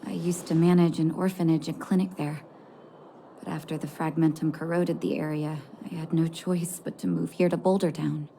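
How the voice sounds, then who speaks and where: Low-voiced, gentle female doctor. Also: level tone, creaky, a little hiss or hum. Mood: grave. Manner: soothing, measured, kindly. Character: gentle, composed female doctor caring for an underground town. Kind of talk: video game voice acting. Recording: game music behind the voice. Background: music.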